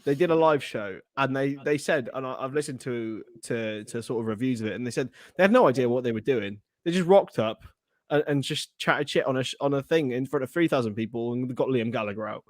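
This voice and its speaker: A man's voice: mid-range voice, brisk, dry recording. A young Englishman co-hosting a casual podcast, rambling with many restarts. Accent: non-Scouse English. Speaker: podcast co-host.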